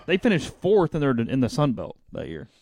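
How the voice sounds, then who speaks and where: A man's voice: mid-range voice, huge pitch swings, fairly quick, a little mellow, dry recording, clean studio sound. A man talking casually as co-host of a sports podcast.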